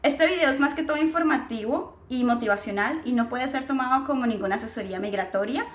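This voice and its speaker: A woman's voice: sits high, quick pace, roomy sound. A woman hosting her own channel, giving a friendly, welcoming introduction. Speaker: channel host. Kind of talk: vlog introduction.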